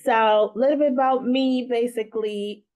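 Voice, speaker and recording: Woman from guyana guesting on an interview show, earnest, lots of little pauses and ums. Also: fairly high pitch, fairly even intonation, a little mellow. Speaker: guest, event founder. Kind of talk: casual interview talk.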